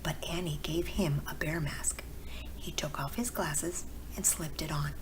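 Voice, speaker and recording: A woman narrating a bedtime storybook in a soft, breathy, gentle storytelling manner. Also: sits low, fairly even intonation, measured pace. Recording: clearly noisy background with ambience.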